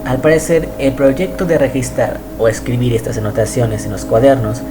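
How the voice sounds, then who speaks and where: Man narrating over music. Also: medium pitch. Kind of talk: scripted horror narration.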